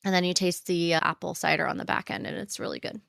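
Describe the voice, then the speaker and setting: A woman with a lowish voice co-hosts a book club podcast, speaking casually and chattily with plenty of fillers. She sounds stuffy and nasal from a cold. The recording is dry and close. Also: flat delivery, fast, vocal fry, a bit bright, no room sound. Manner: chatty, upbeat, with many fillers. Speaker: podcast co-host.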